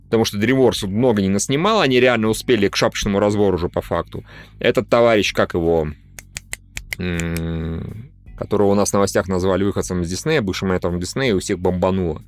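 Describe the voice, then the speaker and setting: A man's voice: low-pitched, fast talker, faint music behind. A man hosting a podcast, chatting casually about animation in informal, blunt slang. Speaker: podcast host.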